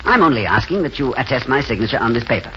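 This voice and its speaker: Expressive, eloquent wistful intellectual. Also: male voice, mid-range voice, fast. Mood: wistful, intense. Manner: eloquent, genteel, hesitant openings. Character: courteous, wistful, idealistic drifting intellectual man. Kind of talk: 1940s radio acting. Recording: dull, faintly noisy 1940s radio recording.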